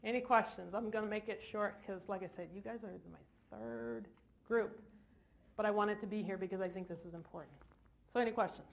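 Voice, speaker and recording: A woman's voice: medium pitch, fairly quick, slight background noise. A woman clinician giving an informal lecture in a large hall, with jokey, self-deprecating asides. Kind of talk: informal lecture to a live audience.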